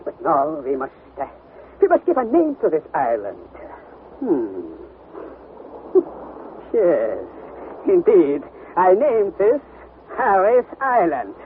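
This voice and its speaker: An actor playing an eccentric, stubborn older professor in an old radio drama, bossy and given to emphatic repetition. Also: male voice, high voice, wildly swooping pitch, slow, long pauses, phone-quality sound, heavily acted. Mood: dismissive, brisk. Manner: imperious, repeats himself emphatically. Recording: thin, noisy vintage radio recording.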